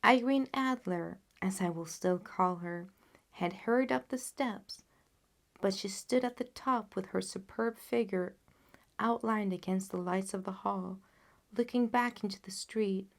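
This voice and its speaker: A woman reading classic literary fiction aloud as a storyteller, with an expressive, dramatic manner. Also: medium pitch, unhurried. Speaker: storyteller, audiobook reader. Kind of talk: scripted literary narration.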